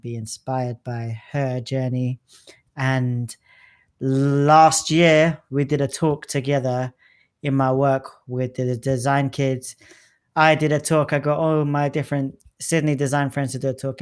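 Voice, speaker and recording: A man's voice: medium pitch, clean tone. Chatty enthusiastic man hosting a podcast. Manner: chatty, enthusiastic, lots of "like" fillers. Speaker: podcast host. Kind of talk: casual interview chat.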